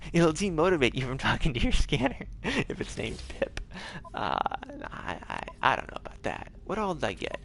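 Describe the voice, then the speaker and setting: A man's voice: a little high, very animated, moderate pace, gravelly, a bit bright, slight background noise. A man casually commenting on his game for a live stream, rambling and tripping over his words as he plays at home. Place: home, gaming on a TV.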